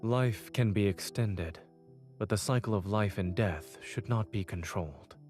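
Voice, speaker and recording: Actor as deep-voiced, composed male doctor. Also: soft background music, lightly acted. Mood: calm, authoritative. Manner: steady, composed, measured. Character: calm authoritative male doctor, brilliant surgeon and lecturer. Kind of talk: scripted game voice acting.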